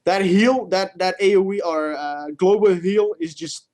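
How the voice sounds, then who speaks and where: A man co-hosting a gaming show, chatting casually in a halting way, repeating words and swearing freely. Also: high voice, a little mellow.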